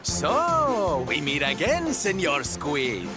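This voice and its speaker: A woman's voice: low voice, wildly swooping pitch, slow pace, exaggerated voice. An actress playing a flamboyant, flirtatious, theatrical adventurer woman in a video game. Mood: confident, taunting. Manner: playful, theatrical, coquettish. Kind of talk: video game cutscene acting. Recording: loud game music behind voice.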